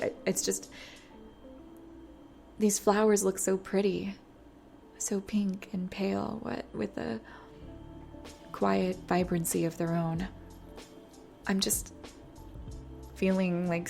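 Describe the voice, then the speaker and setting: Woman doing a nervous lovesick young pop star girl, audio roleplay, slow n halting, sighs, music behind her. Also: medium pitch. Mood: tender, wistful. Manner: flustered, halting, self-interrupting, breathy sighs. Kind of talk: intimate scripted audio roleplay.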